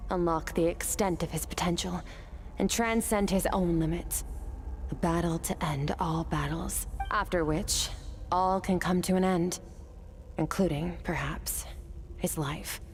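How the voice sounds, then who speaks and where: A woman's voice: fairly low pitch, a little hiss or hum, close to an everyday voice. An actress playing a cool-headed, resolute swordswoman in a video game, with composed, formal and matter-of-fact delivery. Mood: grave, measured. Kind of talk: dramatic video game acting. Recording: game music behind the voice.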